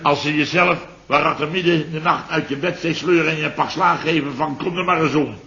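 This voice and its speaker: A man's voice: on the high side, fairly even intonation, fairly quick, pushed and bright. A man playing a blustering, irritable middle-aged boss in a 1960s radio play who keeps protesting and grumbling. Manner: blustering, indignant, exclaims "te helle". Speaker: the boss ("chef"). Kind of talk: 1960s radio drama acting. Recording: dull lo-fi old radio recording with faint hiss.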